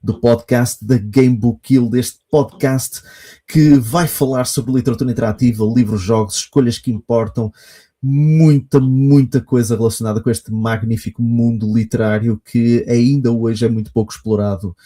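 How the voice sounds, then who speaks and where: A man's voice: medium pitch, fairly even intonation, moderate pace, dark tone. A man with a European Portuguese accent hosting a podcast, speaking eagerly and effusively, often hesitating mid-phrase. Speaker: podcast host. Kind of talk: podcast hosting, casual chat.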